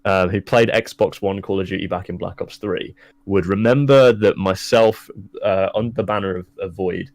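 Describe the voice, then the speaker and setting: A man's voice: low-pitched, moderate pace, no room sound. A young man, talking casually and at length as an interview guest, with many restarts and fillers. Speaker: esports caster and guest.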